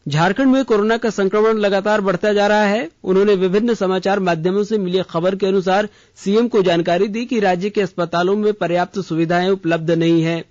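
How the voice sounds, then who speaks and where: High-pitched male radio news reader. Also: a little mellow, dry recording. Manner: formal, measured announcing. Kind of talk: scripted regional news bulletin. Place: radio studio. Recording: slightly dull, band-limited radio audio.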